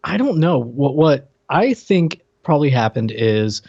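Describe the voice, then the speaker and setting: A man's voice: on the high side, sing-song, unhurried, gravelly, darkish tone, slightly rough, no room sound, lo-fi sound. A man chatting casually as a podcast co-host, blunt and teasing in his delivery. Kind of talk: casual chat, TV show recap.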